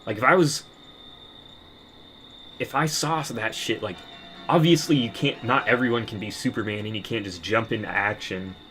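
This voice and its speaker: A man's voice: lowish voice, moderate pace, pauses often, sharp tone, soft background music. A man ranting in a casual monologue, bitter and profane, rambling with many restarts. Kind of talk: casual rant to camera.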